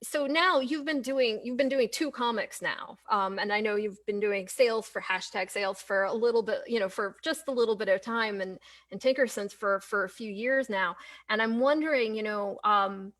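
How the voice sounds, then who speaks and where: A woman's voice: mid-range voice, moderate pace, fairly bright tone. A woman hosting a casual interview, speaking in a tentative, rambling way with questions that restart and trail off. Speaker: interviewer, host.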